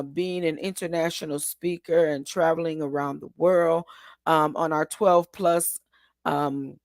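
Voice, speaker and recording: Hoarse, gravelly woman hosting a show. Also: lowish voice, dry recording, studio quality. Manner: rambling, many ums and restarts; encouraging, asks listeners to agree. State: hoarse today. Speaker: host. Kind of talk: casual motivational talk.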